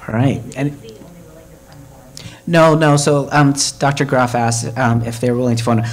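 A man's voice: mid-range voice, fairly even intonation, unhurried. A man chairing a committee at a hybrid meeting, talking conversationally with fillers and restarts.

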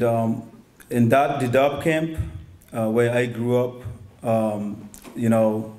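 Young man, guest speaker, hesitant testimony, level dark voice, stand mic indoors. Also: mid-range voice. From Somalia. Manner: hesitant, many ums and restarts. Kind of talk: personal testimony talk. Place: synagogue hall, indoors.

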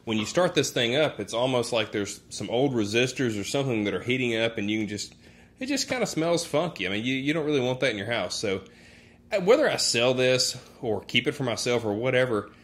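A man's voice: medium pitch, big pitch swings, fairly quick, a bit bright. A man giving a casual how-to narration in an informal, folksy way, thinking aloud as he goes, recorded in a room at home. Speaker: DIY demonstrator.

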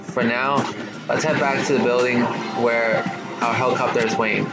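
Stuttering man reading game text over loud music. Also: medium pitch, steady tone, moderate pace. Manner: reads text lines aloud, stumbling and restarting, slangy asides with "bro" and swearing; stutters on some words. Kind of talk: casual let's-play reading of game text.

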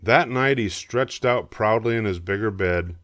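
An older man narrating a children's storybook, reading aloud in a measured, expressive way. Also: fairly low pitch, dry recording, studio quality.

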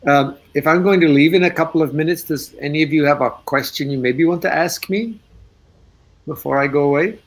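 A man speaking English on a video call, relaxed and thinking aloud with restarts as a guest speaker. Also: on the high side, brisk. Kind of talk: casual talk on a video call.

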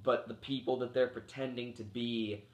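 A man's voice: medium pitch, fairly even intonation, moderate pace. A man around thirty reviewing a movie in a loose, rambling way, full of fillers and restarts, while getting over a cold that makes him cough. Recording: echoey room.

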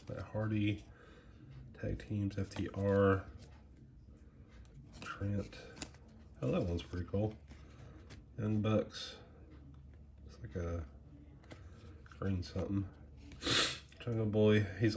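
A man's voice: deep voice, slow, long pauses. A man with a head cold and a nasal voice, chatting casually as he opens packs of trading cards at a table, reading names off them. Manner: relaxed, rambling, reads names off cards. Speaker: card collector unboxing trading cards. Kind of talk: casual unboxing commentary. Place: indoors at a small table, close to the camera. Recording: loud crinkling wrapper noise.

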